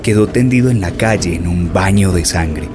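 Scripted radio-play narrator: grave, fairly deep, telling the story over strong music. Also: male voice, normal speed. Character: grave male documentary-drama narrator. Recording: prominent music under narration.

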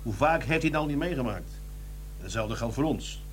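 An actor playing a tired, subdued police detective, in a radio drama. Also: male voice, mid-range voice, expressive, normal speed, slight background noise, natural voice. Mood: weary. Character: weary, sluggish male detective. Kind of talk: 1990s radio drama acting.

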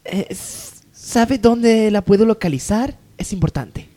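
A man's voice: sits high, animated, takes it slowly, warm tone. A man playing a jealous, bossy rich woman in a parody radio soap, melodramatic and haughty. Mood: brisk, scheming.

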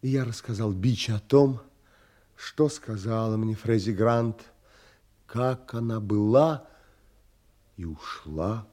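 An earnest, brooding romantic adventurer in an audio drama. His husky, mellow voice takes its time, pausing dramatically as he narrates and speaks. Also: lowish voice. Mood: confessional, anguished. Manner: dramatic, measured, with pauses. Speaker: actor and narrator.

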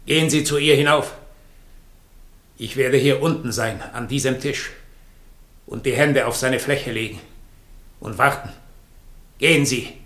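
A male actor plays an imperious, menacing doppelgänger in a vintage radio drama. The voice is forceful and cutting, with deliberate commands. It rings in an echoey studio. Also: mid-range voice, steady tone, close to an everyday voice. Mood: urgent, commanding. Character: menacing, commanding doppelgänger, a man who claims to read thoughts. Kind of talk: 1960 German radio play acting.

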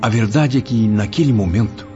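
A male actor gives a grave, reflective spirit memoirist with a low voice, narrating in literary Brazilian Portuguese for a radio drama. The recording is a little dull, with prominent music underneath. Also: a little fast, lightly acted. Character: grave male spirit recounting his anguished memories.

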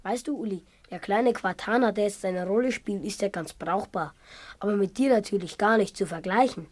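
A woman's voice: mid-range voice, normal speed, a little mellow, natural voice. A woman playing a cheerful, boisterous schoolboy with a big appetite in a 1950s radio play. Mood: hearty. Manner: brash, chummy, boisterous. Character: cheerful hearty hungry schoolboy. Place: radio studio.

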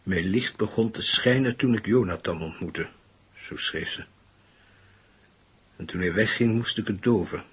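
An actor in a radio play playing a grieving father who speaks in a subdued, halting way. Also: lowish voice, breathy edge. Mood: subdued grief. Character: grieving father recalling his daughter's farewell letter.

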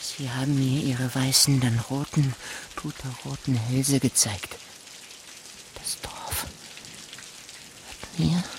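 A menacing gamekeeper with an unhinged edge in a radio play. His mid-pitched voice moves haltingly and intensely between whispers and outbursts. Noise like rainfall sits behind him. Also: gravelly. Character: unhinged, menacing male gamekeeper, a child killer. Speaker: actor. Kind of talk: German radio drama acting.

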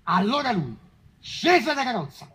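A woman's voice: a little high, sing-song, measured pace, a bit bright, breathy edge, exaggerated voice, poor audio. A woman playing a brash, devout Roman commoner in colloquial stage dialogue, with a Roman accent. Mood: earnest. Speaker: stage actor.

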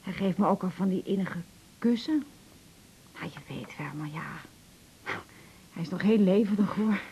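An actress playing a candid, gently wry and anxious older mother in a radio drama, confiding in a hesitant way. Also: medium pitch, normal speed, a little hiss or hum, lightly acted. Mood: anxious, embarrassed. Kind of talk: naturalistic radio drama acting.

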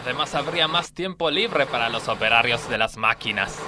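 A man plays a practical factory worker in an Argentine radio comedy. His voice is medium in pitch, earnest and questioning. Noticeable noise sits behind him. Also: a little fast, natural voice. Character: earnest, calculating, doubtful male factory worker. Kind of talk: comedic radio play acting. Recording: noisy background.

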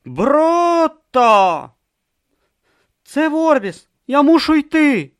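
A man's voice: extremely high pitch, big pitch swings, extremely slow, pitched above the performer's own voice, full character voice. A man voicing a timid, earnest young male novice monk who speaks hesitantly and anxiously.

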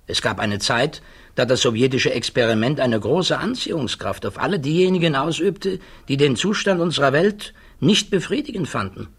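A male physicist facing interrogation in a 1960s German radio play. His voice is mid-pitched and somewhat husky. He chooses words with formal precision and holds to his principles with quiet defiance. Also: expressive. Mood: calm, candid. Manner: deliberate, precise, formally articulate.

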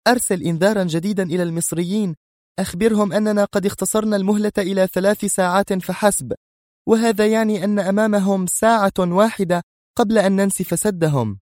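Man doing a high, stern female spy commander. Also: steady tone, quick pace, dry recording, studio quality, natural voice. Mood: furious, commanding. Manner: sharp, commanding, strained. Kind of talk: audiobook character voice.

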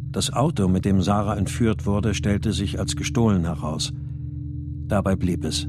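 An actor playing a brooding, grieving writer who narrates a radio drama in a subdued, introspective manner. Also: male voice, on the low side, close to an everyday voice. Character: brooding married male writer, grieving missing daughter. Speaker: narrator and lead character. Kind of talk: first-person radio drama narration and dialogue.

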